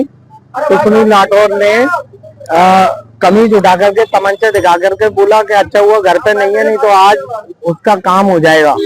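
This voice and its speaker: A man slowly recounting a complaint to a reporter, pausing with fillers. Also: high-pitched, poor audio. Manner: slow, deliberate, with pauses and fillers.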